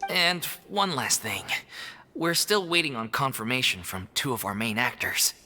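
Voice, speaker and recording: Game role: concerned troupe man, bright, animated storytelling, music behind. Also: medium pitch, moderate pace. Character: concerned, earnest member of a theatre troupe. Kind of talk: video game voice acting.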